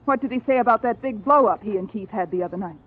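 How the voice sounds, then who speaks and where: A woman's voice: mid-range voice, fast talker, close to an everyday voice. An actress playing a defensive, indignant woman suspected of murder in a 1950s radio drama, speaking insistently. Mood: insinuating. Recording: tinny old radio recording with music.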